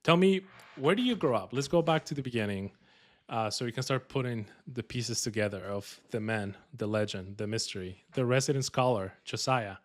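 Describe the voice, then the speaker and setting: A man's voice: mid-range voice, normal speed. Upbeat Colombian-accented male podcast host. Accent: Colombian Spanish. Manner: upbeat, joking, many fillers. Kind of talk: casual podcast chat.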